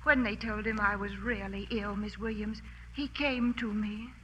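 A woman's voice: medium pitch, steady tone, measured pace, pushed and bright. An actress playing a sorrowful, fragile rich young woman in a 1940s radio drama. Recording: noisy, muffled old radio recording.

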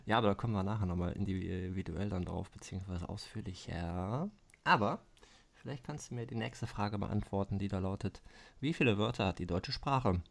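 A man hosting a casual podcast interview, relaxed and easygoing, often repeating "verstehe" and clearing his throat. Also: low-pitched, brisk. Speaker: podcast host.

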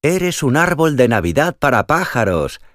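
A man's voice: medium pitch, sing-song, moderate pace, fairly bright tone, no echo, studio quality, voice pushed up. A man voicing a big friendly thrush in a children's story, calling out in an encouraging, reassuring way. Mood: cheerful, reassuring.

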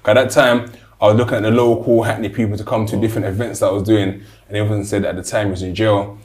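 A low-pitched man with a London accent hosts a casual interview. He asks rambling, conversational questions in a relaxed tone. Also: fast. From South London. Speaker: interview host.

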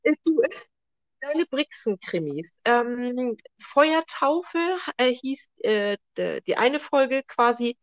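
Lively high-voiced woman hosting an interview. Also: slow pace, no echo, highs cut off. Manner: friendly, hesitant with many fillers. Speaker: podcast host. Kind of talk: casual interview.

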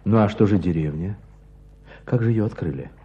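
A man's voice: fairly low pitch, normal speed, close to an everyday voice. A man acting in a radio drama as a reflective writer out hunting, speaking lyrically and without hurry. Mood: curious. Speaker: narrator, a writer and hunter. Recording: dull sound, outdoor ambience noise.

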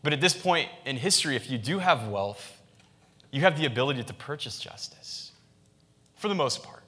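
A man's voice: medium pitch, lively intonation, normal speed, pushed and bright. A man, a pastor, giving a sermon in a conversational style, with frequent restarts and fillers.

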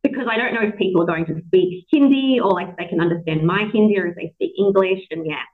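A woman in her thirties with an Australian accent, hosting a casual chat, lively and quick to laugh. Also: medium pitch. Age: 30s. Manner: chatty, animated, laughs a lot. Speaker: podcast host. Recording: tinny phone-like call audio.

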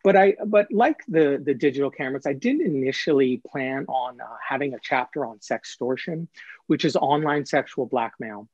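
Man, mid-pitched voice, earnest and emphatic, interview guest explaining, dry lo-fi sound. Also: lively intonation, moderate pace, a little mellow, dry recording.

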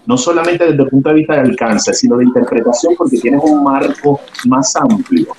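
A man interviewing a guest, asking long questions in a measured way with filler pauses. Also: mid-range voice, background noise.

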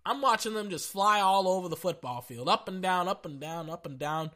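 A young man hosts a loose sports podcast in a high, bright voice with a forceful edge. His throat is sore and his voice is fading, yet he stays brash and excitable, calling out to "ladies and gentlemen" as he riffs. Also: a little fast. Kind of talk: casual sports podcast talk.

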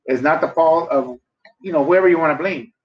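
A man's voice: on the high side, brisk, a little hiss or hum. A man in his forties, a podcast co-host chatting casually in a rambling, laid-back way, swearing now and then. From Los Angeles area. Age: 40s. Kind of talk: casual chat. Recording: echoey room, dull lo-fi sound.

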